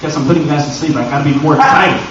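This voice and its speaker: An American man giving an informal live panel talk to a convention audience, animated and conversational, with self-deprecating jokes. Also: fairly high pitch, rapid-fire, a loud crowd. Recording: echoey, dull, noisy room from far off.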